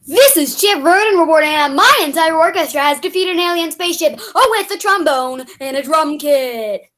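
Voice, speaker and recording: Woman as slick hepcat bandleader, high voice, old radio play patter, showy, pointed. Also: animated, moderate pace, fairly bright tone. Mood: announcing. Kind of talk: 1940s radio broadcast acting.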